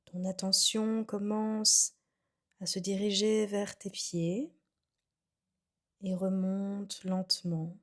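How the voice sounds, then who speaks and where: A woman guiding a relaxation session as a yoga nidra instructor, soothing and unhurried, with phrases that trail off softly. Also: mid-range voice, level tone. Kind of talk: guided meditation, calm instruction.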